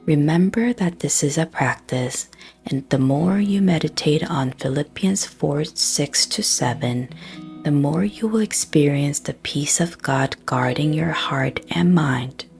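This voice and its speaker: A woman with a low voice leads a scripted guided prayer meditation. Her delivery is soft, breathy and very slow, with pauses between short phrases. Gentle plucked guitar music plays softly behind her.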